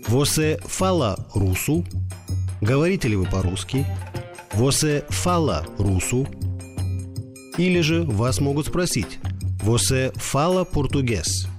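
A man presenting a scripted language lesson, repeating phrases slowly and clearly. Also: mid-range voice, big pitch swings, music in the background. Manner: slow, clear, drilling phrases.

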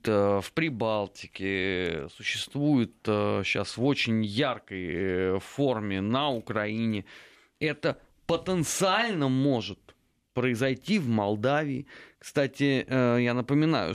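A man in a studio discussion who hesitates with many filler sounds, then speaks in animated bursts. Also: mid-range voice.

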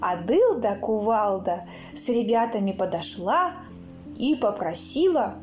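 A woman reading her own children's stories aloud in an expressive, theatrical way, acting out sounds and characters. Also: medium pitch, a little slow. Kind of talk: expressive children's storybook narration. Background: music.

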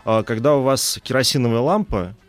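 A man in his thirties explaining as an expert guest in an interview, with a halting, hesitant delivery full of trailing asides. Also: mid-range voice, faint music behind. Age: 30s. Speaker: guest expert, animation historian.

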